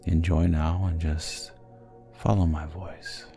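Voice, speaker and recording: A man narrating a guided sleep hypnosis in a soothing, gentle and measured manner. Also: low voice, flat delivery, creaky, soft background music.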